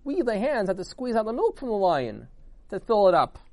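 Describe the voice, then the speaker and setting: A male storyteller acts out an indignant talking body part from a fable, pitched high and swinging widely. The voice is loud and scornful, boasting and arguing over who matters most. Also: huge pitch swings, brisk, no room sound, heavily acted. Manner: shouting, scornful, argumentative.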